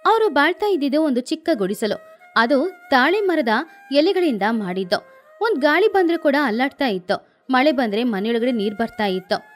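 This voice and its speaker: A woman's voice: sits high, lively intonation, moderate pace, soft background music. A woman storyteller narrating scripted bedtime stories for children.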